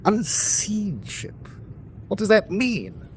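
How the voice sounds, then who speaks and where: An actor playing a stern, sardonic German U-boat captain in a wartime radio drama, commanding, clipped and dryly sarcastic. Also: male voice, high voice, wildly swooping pitch, takes it slowly, slight background noise, heavily acted. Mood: puzzled, grave.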